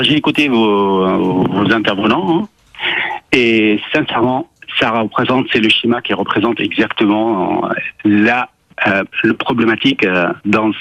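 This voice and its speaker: A man in his fifties from Syria with a Syrian accent, calling a radio debate and arguing insistently in halting, repetitive non-native phrasing. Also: lowish voice, fairly bright tone, breathy edge, no room sound, low-quality recording. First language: Arabic. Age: 50s. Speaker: phone-in caller. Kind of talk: impromptu political argument.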